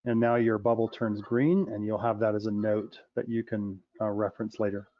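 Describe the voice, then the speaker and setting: A man co-hosting a question-and-answer call, explaining software in a practical, step-by-step way. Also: fairly low pitch, steady tone, moderate pace, dry recording. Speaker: co-host. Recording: dull, dry lo-fi audio.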